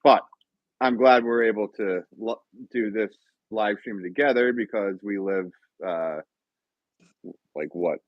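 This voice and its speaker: A man's voice: fairly low pitch, slow pace, pauses often, no room sound, dull audio. A man from Connecticut hosting a casual live-stream podcast, rambling with lots of fillers and frequent laughter. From Connecticut, USA. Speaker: podcast host.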